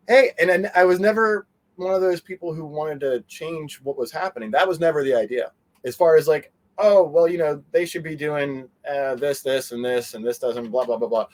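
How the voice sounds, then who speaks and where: A man talking casually as an interview guest, telling things in a rambling, animated way with quoted asides. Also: on the high side.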